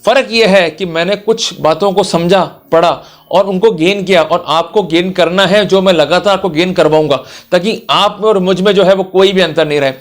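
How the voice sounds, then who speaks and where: High-pitched man lecturing on camera. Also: normal speed, soft background music. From India. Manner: emphatic, instructive, addresses listener directly. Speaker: lawyer giving a law lecture. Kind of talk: explanatory legal lecture for online viewers.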